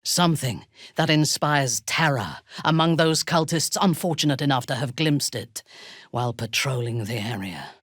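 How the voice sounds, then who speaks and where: A woman playing a haughty, ruthless drow warrior in a video game, with a husky, breathy voice and formal, imperious diction. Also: a bass voice, moderate pace, no room sound, clean studio sound. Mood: cool, haughty. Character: haughty, ruthless female drow warrior, devout and coldly seductive. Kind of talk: video game character acting.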